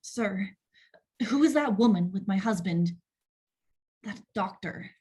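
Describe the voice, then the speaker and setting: A woman acting an anxious, distrustful wife in a table read, with a husky voice. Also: medium pitch, animated, takes it slowly, mellow. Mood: uneasy, suspicious.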